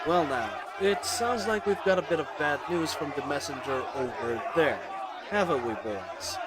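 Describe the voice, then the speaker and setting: A man's voice: a little high, animated, moderate pace, a loud crowd, very noisy, natural voice. A man playing a charming, cocky bandit crew leader in an audio roleplay, with a teasing, swaggering, conversational manner. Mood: commanding, cocky. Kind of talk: dramatic audio roleplay acting.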